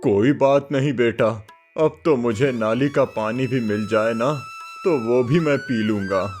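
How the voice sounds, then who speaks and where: A man's voice: medium pitch, unhurried, darkish tone, lightly acted. A man voicing a thirsty, grateful old wandering holy man in a cartoon moral story, humble and reverent. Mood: weary, humble. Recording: music bed under the voice.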